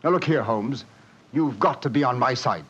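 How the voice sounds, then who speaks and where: A man's voice: mid-range voice, moderate pace, fairly bright tone, slightly rough. An actor playing a desperate, insistent man under threat in a 1940s radio drama, bargaining in agitation. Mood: desperate, pleading. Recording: muffled old radio broadcast.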